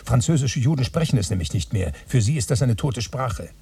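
An actor in a German radio play voicing a fussy, grumbling man who pedantically thinks aloud, his voice husky. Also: medium pitch, expressive, fairly quick, dark tone, far from the mic. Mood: insistent.

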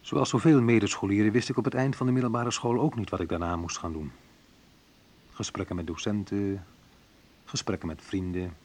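A man's voice: lowish voice, steady tone, a little fast, a little mellow, close to an everyday voice. An actor playing a wry, reflective man who narrates memories of his youth in an intimate radio drama, confiding and a little hesitant. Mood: dry, wry. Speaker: narrator and main character of a radio play.

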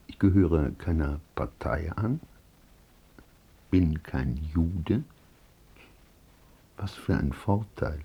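An anxious, scheming stage careerist in a radio play. His voice is husky and slow, swinging widely in pitch as he weighs his words, calculating and eager to ingratiate himself. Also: muffled recording, exaggerated voice. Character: anxious opportunistic actor, ingratiating and self-justifying. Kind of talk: German radio drama acting.

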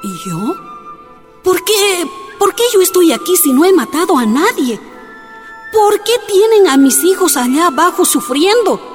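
High-voiced, anguished Bolivian mother. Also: lively intonation, a little slow, big-room sound, over music. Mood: anguished defiance. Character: anguished imprisoned Bolivian miner's wife, mother, humble but defiant. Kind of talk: radio drama acting.

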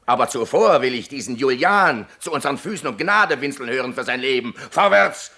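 Cruel rebel captain, radio drama, pompous bellowing, wildly swinging pitch, hard bright edge. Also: male voice, expressive, a little fast, creaky. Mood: cruel, vengeful. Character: ranting, cruel, bombastic male rebel captain. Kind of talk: theatrical German radio play acting.

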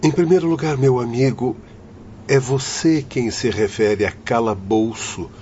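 A man acting a firm, patient doctor in a radio drama, speaking in a measured, formal, instructive way. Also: mid-range voice, a little mellow, natural voice. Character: firm, patient doctor guiding troubled spirits. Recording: dull, noisy lo-fi audio.

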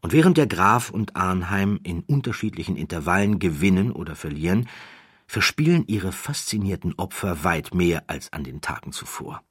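Male audiobook narrator: low, husky voice, expressive scripted storytelling. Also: dry recording, clean studio sound.

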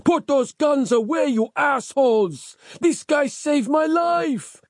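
A man's voice: very high voice, expressive, normal speed, dark tone, no echo, clean studio sound, pitched above the performer's own voice. A man voicing a brash, blustering street maverick, burned out and indignant, in an audiobook. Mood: outraged. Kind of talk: audiobook character voice.

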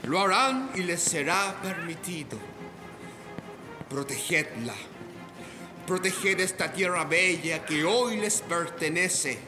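A man's voice: sits high, animated, takes it slowly. A man playing a proud, menacing old ghost pirate captain in a radio drama, grave and theatrical. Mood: grave warning. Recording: music behind. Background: music.

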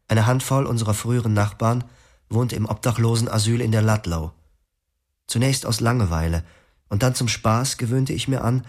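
A man's voice: fairly low pitch, a little mellow, natural voice. A man playing a weary, subdued narrator. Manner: subdued, reflective. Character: weary young man telling his own story. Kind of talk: first-person radio drama narration.

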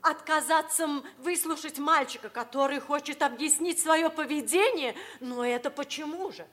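Casting: outspoken kindly school lady, high voice, indignant, Soviet radio drama. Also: moderate pace, a bit bright. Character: indignant, kindly woman defending a boy. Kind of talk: mid-century Soviet stage acting for radio.

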